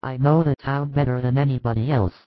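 Male reader as an insistent follower begging his master in a religious story; mid pitch, phone-like audio. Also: fairly even intonation, brisk, no room sound, natural voice. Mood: insistent pleading. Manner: insistent, pleading. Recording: thin phone-like band, dry.

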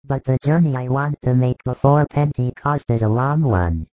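Male reader as a grave, loving spiritual teacher for a storybook; emphatic pleading, mid pitch, narrow-band sound. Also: expressive, moderate pace, no echo, lightly acted. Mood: tender. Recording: thin phone-like band, dry.

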